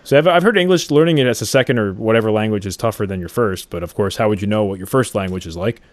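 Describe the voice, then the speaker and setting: A man from upstate New hosts a podcast in loose, joking chat. His voice sits low and he talks quickly. The sound is dry and close to the mic. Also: no echo. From upstate New York. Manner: joking, rambling, quick-talking. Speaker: podcast host.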